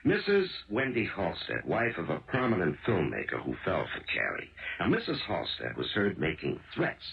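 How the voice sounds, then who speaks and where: An American actor playing a weary, gruff police detective husband in a 1970s radio drama, speaking in brusque, emphatic, colloquial phrases. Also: male voice, deep voice, wildly swooping pitch, normal speed, heavily acted. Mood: exasperated. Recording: dull lo-fi old radio broadcast.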